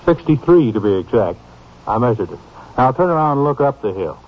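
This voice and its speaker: A man's voice: medium pitch, sing-song, lightly acted. Actor as methodical lab man on muffled radio. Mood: puzzled. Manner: precise, deliberate explaining. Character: puzzled, methodical male police lab man explaining evidence. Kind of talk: 1950s radio crime drama acting. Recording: muffled, slightly noisy old radio recording.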